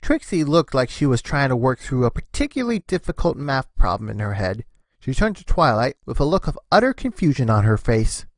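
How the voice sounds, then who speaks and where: Very low-voiced woman narrator. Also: very animated, fairly quick, no room sound. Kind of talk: expressive scripted story narration.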